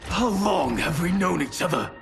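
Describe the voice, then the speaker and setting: An actor playing a stern, bitter warrior in a video game, curt and coldly reproachful. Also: male voice, sits high, expressive, normal speed, vocal fry, a bit bright, soft background music, exaggerated voice. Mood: indignant. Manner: curt, indignant. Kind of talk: video game cutscene acting.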